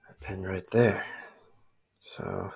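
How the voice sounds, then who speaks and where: A man speaking softly for ASMR close to the microphone, breathy and often near a whisper, in a hesitant, rambling murmur. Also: low-pitched, wildly swooping pitch, takes it slowly. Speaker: ASMR creator playing a spy dad showing gear. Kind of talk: ASMR roleplay, soft-spoken.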